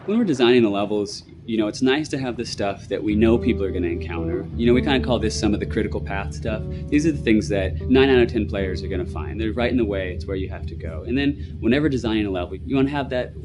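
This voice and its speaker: A man's voice: lowish voice, fast talker, hardly any pauses. A man giving a casual, explanatory interview as a game developer. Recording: loud guitar music under voice. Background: loud plucked guitar music.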